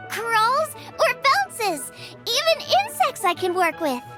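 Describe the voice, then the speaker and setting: A woman voicing an excitable, bubbly teenage girl chef in a video game, playful, bossy and exclamatory. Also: very high-pitched, takes it slowly, over music, heavily acted. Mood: thoughtful, lively. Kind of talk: video game character acting.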